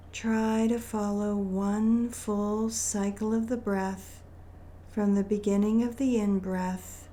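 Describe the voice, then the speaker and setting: A woman leading a guided meditation in a gentle, soothing manner, with deliberate phrasing and long pauses. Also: medium pitch, slight background noise.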